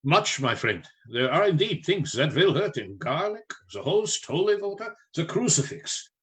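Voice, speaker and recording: A man playing a learned, commanding vampire hunter in a radio play, with formal, deliberate oratory. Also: on the high side, big pitch swings, a bit bright. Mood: resolute.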